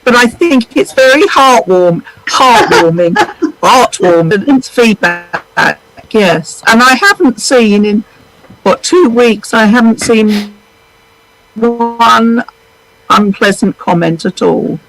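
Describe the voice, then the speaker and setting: A woman with a deep voice calling in to a live show over a video call, speaking earnestly with frequent pauses. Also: animated, music in the background, poor audio. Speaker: viewer calling in.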